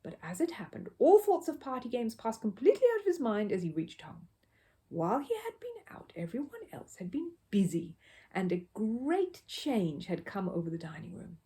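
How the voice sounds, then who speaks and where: A woman's voice: fairly high pitch, sing-song, moderate pace, warm tone. A woman storyteller narrating a children's book expressively.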